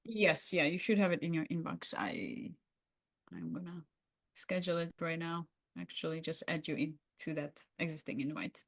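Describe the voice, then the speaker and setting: A woman's voice: deep voice, lively intonation, normal speed, vocal fry. Encouraging woman in conversation. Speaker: podcast co-host. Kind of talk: roleplay and conversational debrief.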